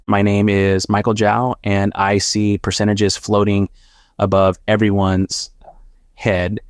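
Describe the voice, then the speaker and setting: An actor playing a sardonic, haunted former risk analyst in an audio drama, narrating in a measured, wry, confessional way. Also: male voice, on the low side, smooth, dry recording, clean studio sound. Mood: wry, grim. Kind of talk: first-person dramatic audio fiction narration.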